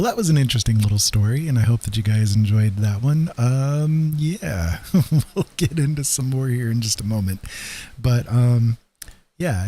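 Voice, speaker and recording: A man's voice: on the low side, dark tone, slight background noise. A man hosting a casual livestream, rambling and hesitant as he chats to viewers. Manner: rambling, hesitant, chuckling asides. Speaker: livestream host.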